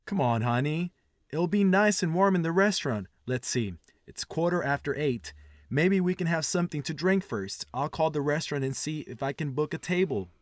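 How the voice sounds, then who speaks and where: A man's voice: on the high side, lively intonation, moderate pace, no echo, lightly acted. An actor playing a friendly man making polite everyday conversation in a scripted language-test dialogue. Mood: persuasive.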